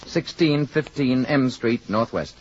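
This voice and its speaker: An actor playing a courteous, mysterious stranger in a radio drama, speaking in a measured, quietly assured way. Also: male voice, medium pitch, close to an everyday voice. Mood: calm, assured. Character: calm, courteous, mysterious stranger, adult man. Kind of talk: 1950s radio drama acting. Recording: muffled, noisy old radio recording.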